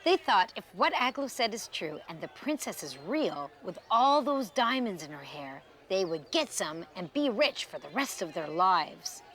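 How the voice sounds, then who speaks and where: A woman storyteller reading a children's tale expressively, with dramatic pauses and emphasis. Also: medium pitch, big pitch swings, fairly bright tone.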